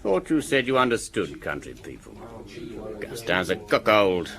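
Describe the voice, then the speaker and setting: A gossipy village innkeeper in a radio play. The voice sits fairly low but moves up and down freely. He sounds dry, knowing and teasing, a sardonic local. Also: huge pitch swings, normal speed, vocal fry, a crowd in the background, exaggerated voice. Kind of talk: radio drama acting.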